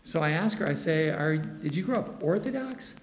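A man giving a lively mission report in church, acting out the voices of the people in his story. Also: on the high side, normal speed. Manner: animated storytelling, acts out quoted dialogue. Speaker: missionary speaker. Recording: echoey hall, long reverb.

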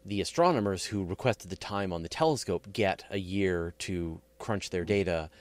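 A man's voice: deep voice, moderate pace, no room sound. A man hosting an astronomy interview, eager and talkative, chiming in with his own stories. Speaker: interview host. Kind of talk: casual chat.

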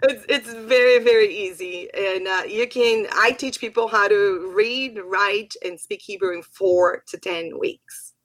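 A woman's voice: medium pitch, a little slow, few pauses, fairly bright tone. A woman whose first language is Portuguese, a podcast guest and Hebrew teacher, telling stories animatedly in casual conversation.

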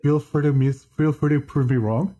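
A man guesting on a podcast, talking in a deadpan monotone and often stopping to restart his sentences. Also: fairly high pitch, normal speed, husky. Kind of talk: casual chat.

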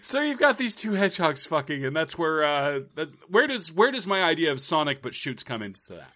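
A man's voice: a little high, sing-song, a little fast, bright, forceful tone. A man improvising an eager male game company employee who keeps breaking into giggles. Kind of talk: improvised comedy sketch.

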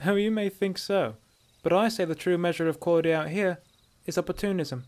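A man voicing a sly female dark elf bandit in a fantasy story, brash, sardonic and bossy. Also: high voice, brisk, pitched above the performer's own voice, natural voice. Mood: mocking.

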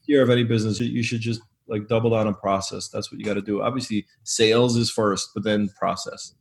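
A man being interviewed as a business owner, talking casually in a loud, emphatic way that often repeats words. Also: lowish voice, flat delivery, fast, vocal fry.

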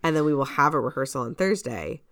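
Low-pitched woman in casual podcast chat. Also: expressive, fast talker, gravelly, a bit bright, no echo. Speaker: podcast co-host; community theater director.

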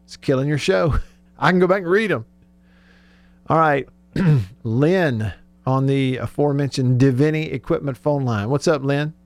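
A man's voice: medium pitch, unhurried, dry recording. A man hosting sports talk radio in a casual, conversational, informal way.

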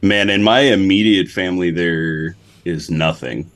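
A young adult man from Alaska, a metal guitarist chatting as a podcast guest in a relaxed, rambling way. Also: low voice, a little slow. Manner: laid-back, rambling, many "like"s. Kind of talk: casual interview chat.

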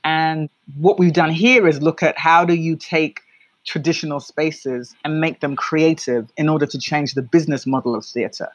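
A woman with a London British accent telling stories as an interview guest, in an expressive, emphatic conversational way. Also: deep voice, normal speed, no room sound, muffled recording. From London, England. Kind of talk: reflective conversational storytelling.

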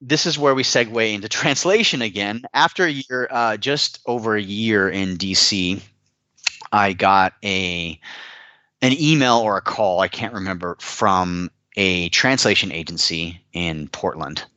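American guy chatting about his life, lowish voice, kinda informal, slightly muffled sound. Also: measured pace, bright, forceful tone, dry recording. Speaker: interview guest. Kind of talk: conversational personal storytelling.

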